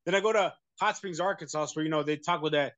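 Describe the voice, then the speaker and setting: Male interview guest, American, rambling casual delivery, fairly high voice. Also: quick pace, fairly bright tone, no echo, highs cut off. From United States. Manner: rambling, many fillers and restarts. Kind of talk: casual conversation.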